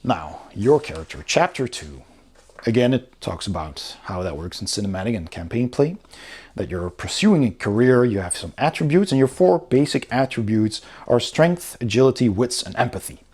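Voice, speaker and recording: A man's voice: medium pitch, animated, moderate pace, a little mellow, slightly rough. A man giving a casual, unscripted review commentary, explaining things step by step as he goes through a rulebook. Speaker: host of a board game review channel.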